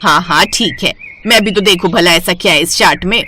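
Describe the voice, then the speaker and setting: A woman's voice: lowish voice, moderate pace, pushed and bright, a little hiss or hum, voice pushed down. A woman voicing a stubborn, scolding old mother-in-law in a cartoon moral story. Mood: grumpy, scolding. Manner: scolding, emphatic. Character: grumpy, stubborn old mother-in-law, easily won over.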